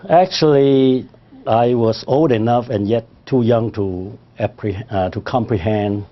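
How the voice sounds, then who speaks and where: A man's voice: mid-range voice, unhurried, lo-fi sound. An older man from Hong Kong whose first language is Cantonese, speaking formally in an oral history interview with frequent hesitations. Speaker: interviewee, librarian.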